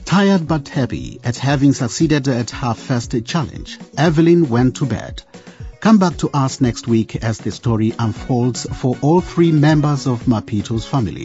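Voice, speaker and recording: A man narrating a scripted radio drama in an expressive storytelling style. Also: medium pitch, a little slow, highs cut off. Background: music.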